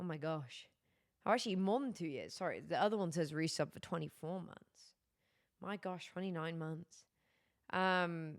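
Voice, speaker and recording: A tired young woman streaming, chatting casually with her chat, her voice going hoarse. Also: medium pitch, a bit bright. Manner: rambling, chatty, many fillers.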